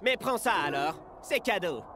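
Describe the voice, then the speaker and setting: A man's voice: sits high, sing-song, normal speed, background noise. A man voicing a music-loving young footballer with a dramatic, sing-song manner in a cartoon dub. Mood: conceding, cool. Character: laid-back young male musician footballer, fussy about music. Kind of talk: animated cartoon dub acting.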